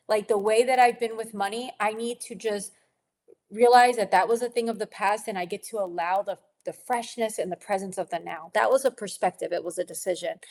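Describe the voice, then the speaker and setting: A woman coaching a women's group on their relationship with money in a gentle, encouraging teaching talk, sniffling a little and laughing often. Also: medium pitch.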